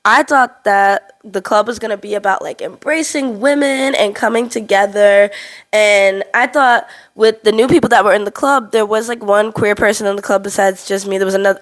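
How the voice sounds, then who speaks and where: A woman in her twenties with a slightly stuffy voice from a cold, telling a personal story to camera in a candid, animated way. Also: a little high, moderate pace. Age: 20s. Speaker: vlogger.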